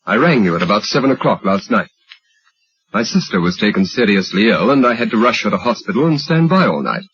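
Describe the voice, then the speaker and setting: An actor playing an earnest man explaining himself to a friend in an old radio drama, with a husky voice. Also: on the low side, sing-song, brisk, fairly bright tone, full character voice. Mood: urgent, defensive. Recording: dull, lo-fi old radio recording.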